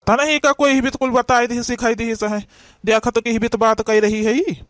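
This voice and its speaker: Guy doing a worried awadhi cartoon papa, pretty high voice, confused and fretful, no echo. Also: level tone, moderate pace, a bit bright, clean studio sound. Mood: baffled, concerned. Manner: plaintive, fretful. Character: worried, bewildered father of a young girl. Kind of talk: amateur comedy cartoon dialogue.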